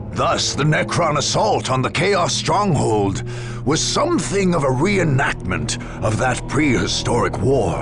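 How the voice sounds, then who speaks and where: An actor playing a grave war chronicler, giving dramatic scripted narration for a video game. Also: male voice, mid-range voice, creaky, full character voice.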